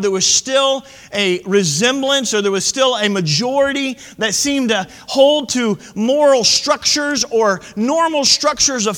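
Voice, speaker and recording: Man, late thirties, pastor, impassioned chatty sermon, bright voice, echoing hall. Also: very high-pitched. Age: 30s. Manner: impassioned, conversational preaching with asides.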